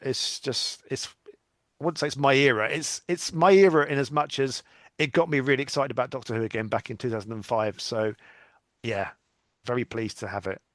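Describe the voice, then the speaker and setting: A man's voice: medium pitch, moderate pace, fairly bright tone, no room sound, studio quality. A man talking as a podcast guest in a casual, informal fan chat, chatty and a little self-deprecating. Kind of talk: casual chat about sci-fi audio dramas.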